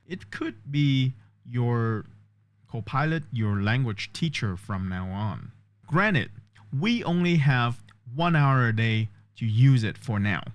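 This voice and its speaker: A man's voice: mid-range voice, expressive, slow pace, dry recording. A man vlogging a test of an AI voice assistant, explaining step by step and thinking aloud. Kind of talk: casual tech demo commentary.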